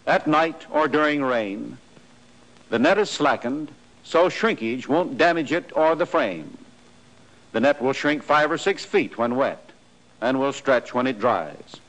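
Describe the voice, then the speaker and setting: A man's voice: medium pitch, animated, unhurried, a bit bright, low-quality recording. An American man narrating a 1940s training film from a script in a formal, precise, matter-of-fact way.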